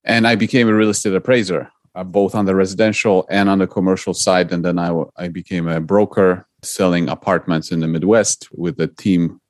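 Low-voiced man. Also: steady tone, brisk, few pauses, no echo, studio quality. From Poland. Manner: earnest, explanatory, some repeated starts. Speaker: guest investor. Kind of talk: interview answers.

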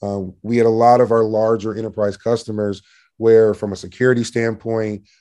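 A man speaking as an interview guest in a conversational business style, with a loud, assertive, salesman-like delivery. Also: fairly low pitch, flat delivery, normal speed. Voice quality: loud, projecting voice.

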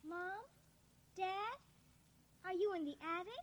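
An actress playing a creepy, playfully calling little girl in a 1950s radio drama. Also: extremely high pitch. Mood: eerie playful. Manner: slow, eerily playful calling. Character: creepy sing-song little girl leading an invasion.

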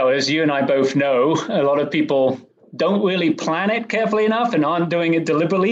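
A man from England with an English accent, a guest on a podcast interview, explaining his work in a measured, articulate way. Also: fairly high pitch, muffled recording. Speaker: guest, M&A advisor.

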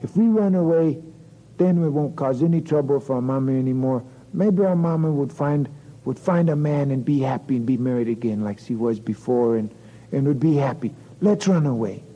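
A man's voice: medium pitch, normal speed, warm tone, lo-fi sound, voice pushed up, natural voice. A man voicing an earnest little boy, with a New Mexican accent. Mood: pleading. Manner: pleading, earnest. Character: young earnest boy, eager to please.

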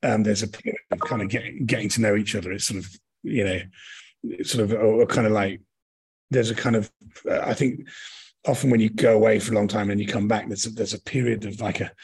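Middle-aged British male interviewee, low husky voice, discursive, reminiscing delivery full of rephrasing. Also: fairly even intonation, fairly quick, darkish tone. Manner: rambling, hedging, often rephrasing mid-sentence. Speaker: interview guest, touring actor and director. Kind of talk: conversational interview answers, reminiscing.

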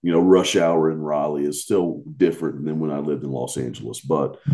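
A man co-hosting a podcast, chatting in a relaxed, hesitant way and laughing easily. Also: extremely low pitch, fairly quick, noisy background. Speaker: podcast co-host. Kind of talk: casual podcast chat. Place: remote recording.

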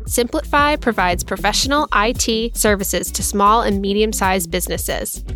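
A woman's voice: mid-range voice, moderate pace, fairly bright tone, faint music behind. A woman hosting an interview podcast, friendly and encouraging with her guest. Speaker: podcast host.

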